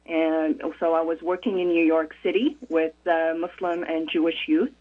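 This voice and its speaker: A woman from Morocco, a conflict resolution expert, speaking as a radio interview guest in a thoughtful, measured way with frequent pauses. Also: sits low, level tone. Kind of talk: radio interview over the phone. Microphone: telephone. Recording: tinny phone line.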